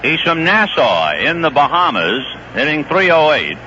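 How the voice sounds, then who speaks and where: A man calling a baseball game play by play on the radio, chatting easily about the players between pitches. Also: normal speed, a little hiss or hum. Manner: relaxed, conversational storytelling between pitches. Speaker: play-by-play announcer. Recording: dull lo-fi 1970s radio broadcast.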